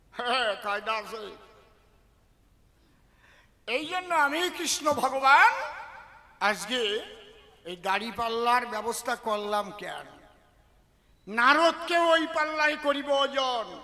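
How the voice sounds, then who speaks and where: A man delivers devotional folk verse in a very high, bright voice. He moves slowly and theatrically through his rhymes, turning to listeners rhetorical questions. Long hall reverb trails his words. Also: vocal fry. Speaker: kobigaan folk poet-singer and religious storyteller. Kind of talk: devotional performance in rhymed verse, half spoken, half declaimed to an audience.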